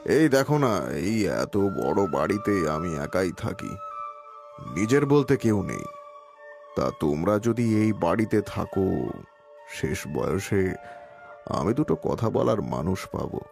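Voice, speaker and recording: A man playing a lonely, kindly but secretive elderly villager in an audio drama, speaking haltingly and repeating his words. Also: deep voice, very animated, creaky, darkish tone, soft background music, heavily acted. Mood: wistful, coaxing. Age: older. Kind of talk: Bengali audio story acting.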